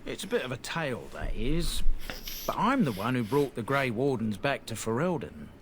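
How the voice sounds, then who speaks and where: An actor playing an earnest, deferential middle-aged trader in a video game, rambling along in folksy, turned-around phrases. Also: male voice, mid-range voice, sing-song, moderate pace, a bit bright, slight background noise. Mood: nervous, humble. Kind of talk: video game dialogue acting.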